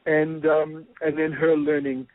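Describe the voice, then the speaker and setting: A man's voice: on the high side, flat delivery, unhurried, dry recording. A man with a New Zealand accent, a guest explaining ideas through a personal story, thoughtful and full of fillers. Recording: tinny phone-like line.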